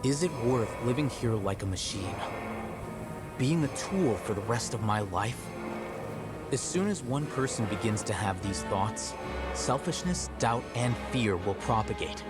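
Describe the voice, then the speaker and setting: A man's voice: mid-range voice, close to an everyday voice. A man voicing a cool, detached scholar in a game. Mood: cool, explaining. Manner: calm, measured, matter-of-fact. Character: cool, aloof male scholar, coldly analytical. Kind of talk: game voice acting. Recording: game audio over loud music.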